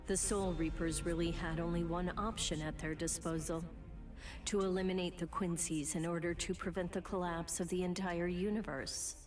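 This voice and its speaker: A lowish female voice of an actress as a stern young warrior in an anime game. She speaks seriously and with measured composure, slightly distant, with music playing clearly behind her. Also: steady tone, natural voice. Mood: grave. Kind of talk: English anime game dub acting. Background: loud game music.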